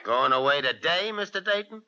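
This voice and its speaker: A terse, wry baseball manager in 1940s radio style. His hard, forceful voice swings in pitch while staying dry and clipped. The old recording is muffled. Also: expressive, fairly quick. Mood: dry, resentful.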